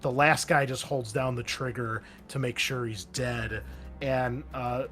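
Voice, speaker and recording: Male game master, mid-pitched, casual vivid combat narration, faint music underneath. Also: steady tone, measured pace, fairly bright tone.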